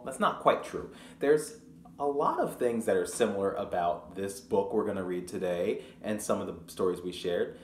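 A young man reading a picture book aloud to children, pausing to explain things simply and ask questions. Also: on the low side. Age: young adult. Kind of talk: storytime read-aloud with explanatory asides. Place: small room at home, indoors. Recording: roomy echo with clear background noise.

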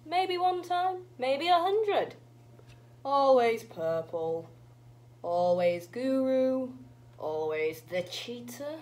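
An old witch granny, acted out by a woman, cryptic and stern. She speaks slowly in foreboding, archaic phrases with a rather high, swooping voice, over a noisy hum. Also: very animated, darkish tone, exaggerated voice. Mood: cryptic, mournful.

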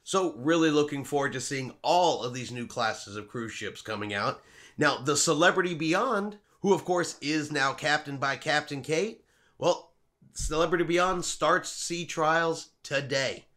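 Middle-aged male vlog host, slightly higher voice, chatty and upbeat, casual to camera at home. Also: expressive, normal speed, fairly bright tone. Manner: upbeat, chatty, talks with his hands. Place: home room with shelves and a wall map, indoors.

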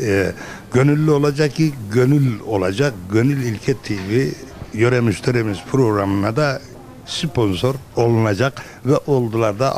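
A man's voice: medium pitch, a little mellow. A middle-aged man presenting a local television program as host, speaking into a handheld microphone. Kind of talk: presenting interview with rhyming sponsor thanks. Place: busy restaurant dining room.

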